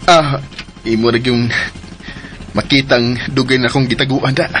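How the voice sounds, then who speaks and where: A man's voice: mid-range voice, steady tone, moderate pace, a bit bright, natural voice. Easygoing shy Filipino man over noisy engine sound played by an actor. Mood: bashful, teasing. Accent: Cebuano Filipino. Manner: hesitant, chuckling, easygoing. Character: shy, friendly, teasing provincial man courting. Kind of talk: Filipino radio drama acting. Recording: noisy motor sound effects behind dialogue.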